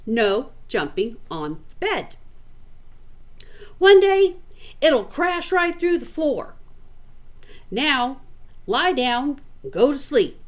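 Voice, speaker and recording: A woman's voice: high-pitched, very animated, extremely slow, long pauses, exaggerated voice. A woman reading the part of a stern, exasperated father giving his son an emphatic scolding in a storybook. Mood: stern, warning.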